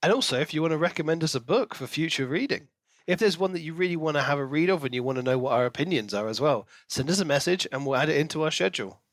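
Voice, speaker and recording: A man with a slightly high voice chats casually as a podcast co-host. He tells things in an animated way, slipping into imagined dialogue, and the recording is close and dry. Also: quick pace, no echo. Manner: animated storytelling, acts out imagined lines. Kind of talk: casual chat about books.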